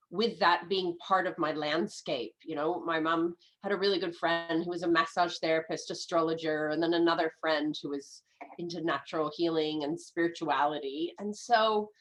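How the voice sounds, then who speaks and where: A woman in her fifties with an American accent and a nasal, slightly stuffed-up voice from a lingering head cold. She talks as a guest in a relaxed interview, chatty and eager. She often trips over her words and starts her sentences again. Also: lowish voice. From United States. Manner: chatty, enthusiastic, restarts often. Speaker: guest, medical astrologer. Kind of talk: casual podcast interview.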